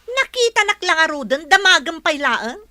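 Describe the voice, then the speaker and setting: A woman playing a bossy, jealous lady of the house, sharp and demanding, in a radio drama. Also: very high-pitched, sing-song, moderate pace, exaggerated voice. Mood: suspicious, irritated. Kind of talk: Philippine radio drama acting.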